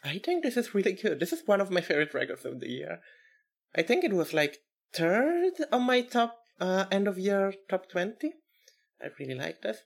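A woman's voice: mid-range voice, sing-song, normal speed, vocal fry. A woman in her twenties chatting casually as a podcast co-host, teasing and thinking aloud in hesitant loops. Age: 20s. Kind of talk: casual chat about records.

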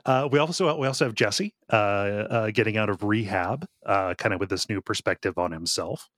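A man co-hosting a podcast in casual chat, recapping with quick asides. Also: on the low side, no room sound. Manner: lively, laughs often, recaps plot. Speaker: podcast co-host.

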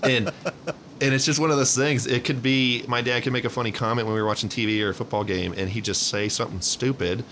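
A man with a deep voice in a casual chat, telling stories in a rambling, jokey way and swearing freely. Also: fairly even intonation, fast talker, fairly bright tone. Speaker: interview guest. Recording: noisy recording with low rumble.

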